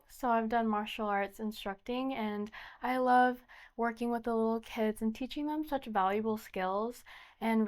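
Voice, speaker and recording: A young woman from the United States answering interview questions earnestly, often repeating "definitely" and "just". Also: fairly high pitch. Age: young adult. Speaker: pageant candidate, interviewee.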